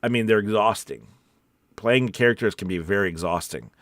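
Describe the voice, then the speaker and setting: A man with a fairly low voice and a dry, close sound talks casually as a voice-over coach on a livestream. He rambles informally and encourages his viewers as he responds to their comments. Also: fairly quick, a bit bright, no room sound.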